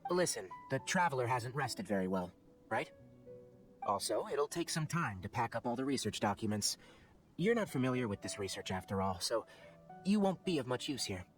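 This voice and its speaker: Man acting a young ranger scholar, exacting, matter-of-fact, testy, animated mid-pitched voice, game dialogue, background music. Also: normal speed, natural voice. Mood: serious, composed. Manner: crisp, lecturing, matter-of-fact.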